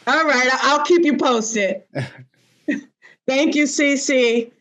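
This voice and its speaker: A woman's voice: sits high, drawn-out words, a bit bright. A woman hosting a podcast panel in a casual, conversational style. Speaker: podcast host.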